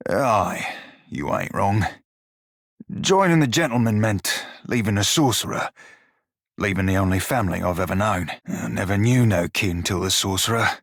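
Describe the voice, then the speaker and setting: An actor playing an irreverent, gruff but soft-hearted furry pirate shiphunter in a video game, speaking in a folksy pirate dialect. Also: male voice, fairly low pitch, no echo, clean studio sound. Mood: sincere, fond. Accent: stylized pirate dialect. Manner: colloquial, folksy, archaic sailor's turns of phrase. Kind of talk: video game character dialogue.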